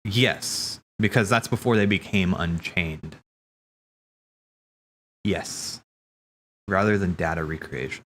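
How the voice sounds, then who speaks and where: A man streaming casual, rambling theory commentary, thinking aloud haltingly, correcting himself and swearing casually. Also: low-pitched, a bit bright, slight background noise.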